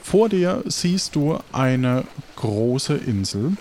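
A man's voice: medium pitch, very animated, drawn-out words, a little mellow. Guy running a tabletop rpg, narrating off the cuff, mid voice, bit of ambient noise. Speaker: game master. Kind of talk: improvised narration of a tabletop game.

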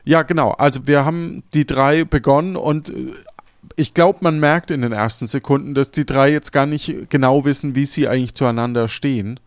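A man's voice: mid-range voice, moderate pace, clear, resonant tone, no room sound, clean studio sound. A man in casual conversation as a podcast co-host, thinking aloud and rephrasing as he goes. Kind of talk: casual chat.

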